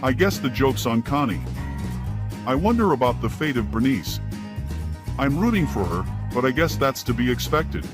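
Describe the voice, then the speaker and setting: A man's voice: mid-range voice, very animated, moderate pace. A man with an American accent hosting a radio programme, reading scripted introductions and background notes. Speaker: host of old-time radio show. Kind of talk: scripted narration. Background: music.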